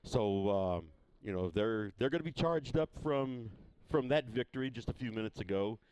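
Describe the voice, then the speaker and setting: A man calling a high school baseball game from the press box, his voice smooth and resonant. He talks in a folksy, easygoing way, circling back and restarting his sentences. The sound is dry and close. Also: expressive, darkish tone, dry recording, low-quality recording. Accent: Missouri. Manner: folksy, unhurried, many fillers and restarts. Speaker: play-by-play broadcaster. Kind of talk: casual local sports broadcast.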